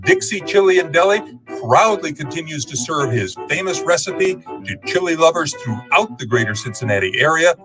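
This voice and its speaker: A man's voice: fairly high pitch, animated, normal speed, hardly any pauses, poor audio. A man reading a scripted sponsor advertisement as an announcer, with showy promotional delivery. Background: music.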